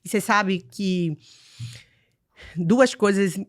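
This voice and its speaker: A woman in her forties from Salvador hosts a podcast interview and shares memories earnestly, checking in with her guest as she goes. Her voice sits at a medium pitch for a woman. The sound is dry and close, with no room around it. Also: takes it slowly, dry recording. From Salvador, Bahia, Brazil. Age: 40s. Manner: earnest, reflective storytelling with frequent "né?" tags. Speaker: podcast host.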